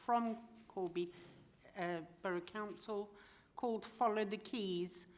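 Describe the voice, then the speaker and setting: A woman councillor making a statement at a meeting, speaking hesitantly with pauses. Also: medium pitch, slight background noise. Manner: slow, hesitant, halting.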